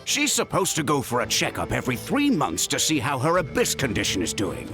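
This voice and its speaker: Gravelly, worried middle-aged father. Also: medium pitch, huge pitch swings, a little fast. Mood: stern, exasperated. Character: gruff, worried middle-aged father, stern but caring. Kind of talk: video game voice acting. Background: background music.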